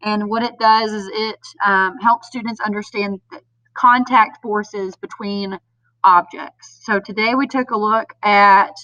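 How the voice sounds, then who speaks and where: A woman's voice: medium pitch, fairly even intonation, unhurried, sharp tone, no echo, recorded from a distance. A woman teacher, sounding nasal from a cold, patiently explaining homework to parents step by step with frequent ums. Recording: dull lo-fi sound a bit off mic.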